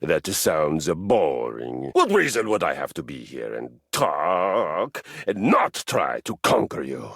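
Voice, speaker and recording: A man playing a brash, battle-loving Viking warrior in a video game, boastful and theatrical, with gravelly voice and pompous archaic phrasing. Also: extremely low pitch, huge pitch swings, takes it slowly, fairly bright tone, no echo, clean studio sound, heavily acted. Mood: haughty, wary. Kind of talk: video game character voice acting.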